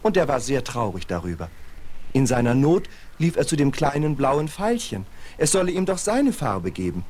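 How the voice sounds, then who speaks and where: Naive, lively-pitched courteous snowman. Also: normal speed. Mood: courteous, storytelling. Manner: polite, wondering, expressive storytelling. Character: naive, earnest, courteous young male snowman. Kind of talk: 1970s German fairy-tale radio play. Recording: faint hiss of vinyl transfer.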